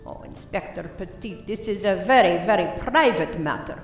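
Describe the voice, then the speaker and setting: A woman's voice: medium pitch, animated, normal speed, natural voice. A woman acts out a coy, flustered lady suspect. She is dramatic and evasive, in radio play style. The recording sounds echoey and distant from the microphone. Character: flustered, coy Spanish-speaking mademoiselle, a murder suspect. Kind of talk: community radio play acting. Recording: echoey room, off-mic, slight noise.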